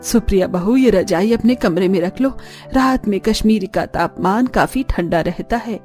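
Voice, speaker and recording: A woman's voice: medium pitch, moderate pace, a little mellow, soft background music, close to an everyday voice. A woman voicing a caring, anxious middle-aged mother-in-law in a cartoon story, with a motherly, fussing manner. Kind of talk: Hindi cartoon story dialogue.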